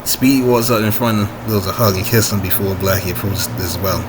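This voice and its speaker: Low-voiced man reading with steady background noise. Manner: halting, often rereading and correcting himself. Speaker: amateur author reading own story. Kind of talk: informal story reading with asides.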